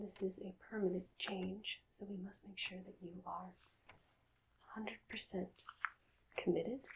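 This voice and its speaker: A woman speaking in a hushed, halting, calm half-whisper, soft-spoken in an ASMR roleplay as a doctor, close to the mic. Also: medium pitch, background noise. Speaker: ASMR performer playing a hypnotist doctor.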